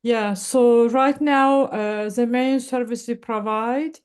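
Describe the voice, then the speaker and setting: A young woman explains her organization in a calm, measured way over a video call. Her voice is mellow and smooth, and she talks at an unhurried pace. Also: on the high side. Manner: measured, explanatory, with light laughs and fillers. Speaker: nonprofit founder, guest. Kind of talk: casual video-call interview. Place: Silicon Valley, indoors.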